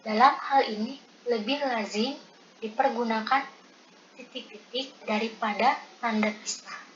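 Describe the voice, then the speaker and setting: A woman's voice: a little high, steady tone, measured pace. A young woman reading out presentation material item by item as a student presenter. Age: young adult. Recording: dull lo-fi audio with loud noise.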